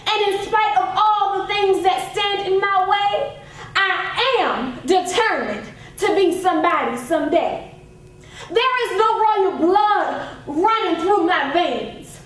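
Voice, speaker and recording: Female poetry reciter: impassioned, declamatory, unhurried delivery, distant in a heavily echoing space. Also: very high voice. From Savannah, Georgia, United States. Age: 20s. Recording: very echoey hall, distant microphone.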